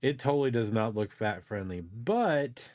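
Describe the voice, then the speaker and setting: A man's voice: mid-range voice, lively intonation, moderate pace, darkish tone, dry recording, studio quality. A man co-hosting a livestream, chatting casually with his partner and the viewers. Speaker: livestream co-host. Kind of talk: casual chat.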